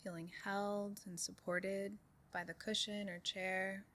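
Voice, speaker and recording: A woman leading a guided meditation in a calm, soothing way, giving soft instructions with unhurried pauses. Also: medium pitch. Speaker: meditation group leader.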